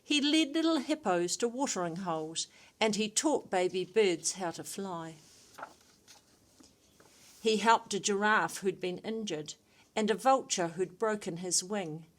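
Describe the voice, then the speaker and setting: A woman's voice: medium pitch, measured pace. A woman storyteller reading a rhyming children's story expressively.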